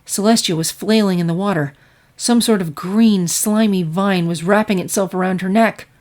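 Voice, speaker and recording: A woman's voice: mid-range voice, moderate pace, a little mellow. Woman narrating fiction expressively. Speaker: story narrator.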